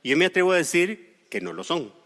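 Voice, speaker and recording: A man's voice: fairly high pitch, big pitch swings, fast, fairly bright tone, big-room sound. A man from Costa Rica preaching a sermon, explaining scripture with personal stories and light humor. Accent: Costa Rican Spanish. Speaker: pastor. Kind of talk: sermon with storytelling.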